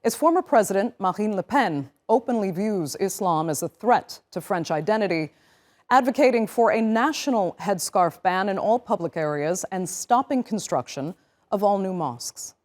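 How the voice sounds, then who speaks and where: A woman hosting a news program, reading a script in a clear, measured way with emphatic openers. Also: mid-range voice, lively intonation, a little mellow. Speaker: news show host.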